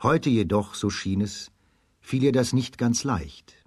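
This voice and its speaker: A man's voice: mid-range voice, slow pace, breathy edge, no echo, clean studio sound. A man narrating a scripted fairy tale for a children's radio play.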